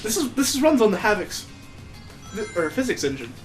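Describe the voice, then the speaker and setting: A man's voice: sits high, sing-song, fairly quick, faint music behind. A man hosting a let's play with casual, sarcastic and amused griping commentary on a video game.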